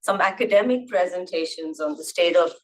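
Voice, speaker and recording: A woman's voice: lowish voice, a little fast, rough recording. A woman from India hosting a conference session, handing over to the next chair in a chatty way. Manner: self-described loud voice, chatty. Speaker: session host. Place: conference hall.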